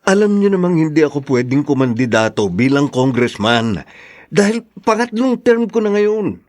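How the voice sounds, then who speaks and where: A crooked, self-important Filipino elder brother. His voice sits a bit higher than most men's. He argues and needles like a classic radio drama villain. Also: very animated, normal speed, a little mellow. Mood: insistent, scheming. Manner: argumentative, taunting. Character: arrogant corrupt elder brother, scheming congressman.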